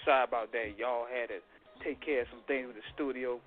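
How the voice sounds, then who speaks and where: A man's voice: medium pitch, steady tone, fairly quick. Chill guy hosting internet radio, jokey, mid voice, tinny phone sound. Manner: laid-back, joking. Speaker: radio host.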